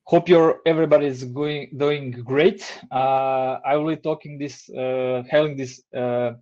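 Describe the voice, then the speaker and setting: Croatian man, 30s, relaxed speaker giving a talk over a dull video call. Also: mid-range voice, unhurried. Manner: relaxed, hesitant with many fillers. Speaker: design lead giving a talk. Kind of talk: online presentation. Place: home room, indoors. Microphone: headset on a video call.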